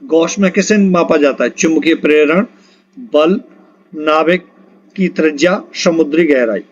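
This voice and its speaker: A man's voice: fairly high pitch, measured pace. A man teaching an exam quiz lesson, reading out questions, options and answers in an instructional way and prompting listeners to answer. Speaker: exam-prep physics teacher. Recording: dull lo-fi recording.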